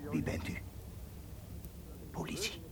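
An actor in a radio drama playing a nervous, evasive antiquities dealer, ingratiating and pleading. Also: male voice, slow pace, a bit bright. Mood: anxious.

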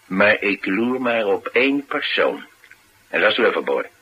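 An actor playing a tough, cynical, streetwise bodyguard in a radio play, talking in a colloquial, matter-of-fact way. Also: male voice, on the low side, big pitch swings, a little slow, husky, lo-fi sound, exaggerated voice. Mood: coolly menacing. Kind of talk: naturalistic radio drama dialogue.

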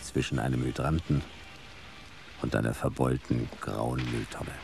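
A laconic noir private eye tells his case in a radio play. His voice is extremely deep and creaky, deadpan, with clear music behind it. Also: measured pace, heavily acted. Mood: brooding. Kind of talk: scripted radio play narration.

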